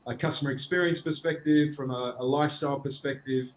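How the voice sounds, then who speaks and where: A man's voice: medium pitch, fairly even intonation, fast, slight background noise. A middle-aged Australian man answering interview questions, hesitant with frequent restarts and fillers. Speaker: guest, marketing executive.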